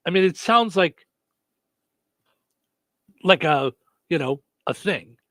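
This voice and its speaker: A man's voice: on the high side, lively intonation, takes it slowly, a bit bright, dry recording. A man hosting a radio show in casual chat, a comedian telling rambling stories full of fillers and restarts. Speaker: stand-up comedian.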